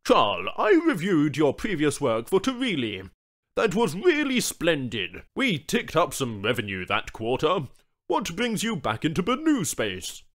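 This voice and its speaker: A man's voice: on the high side, wildly swooping pitch, unhurried, fairly bright tone, pitched above the performer's own voice, heavily acted. A man voicing an eager, rambling, sycophantic corporate employee in an audiobook story. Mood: ingratiating. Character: chatty, sycophantic male office suit.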